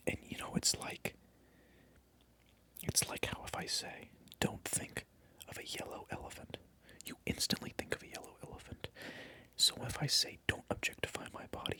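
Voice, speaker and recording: A man whispering through a comedic ASMR role-play, mock-indignant and repeating his phrases over and over. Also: sharp tone. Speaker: ASMR creator.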